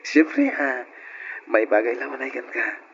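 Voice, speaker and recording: A man's voice: medium pitch, measured pace, fairly bright tone, natural voice. Romantic teasing husband guy man acting, old radio play, dull hissy sound. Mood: teasing, tender. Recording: muffled, noisy old radio recording.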